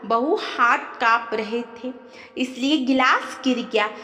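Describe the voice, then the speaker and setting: A woman's voice: sits high, takes it slowly, heavily acted. A woman voicing a frail, plaintive elderly mother-in-law in a family audio story. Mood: apologetic. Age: older. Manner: weary, plaintive, gentle. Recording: echoey, long reverb.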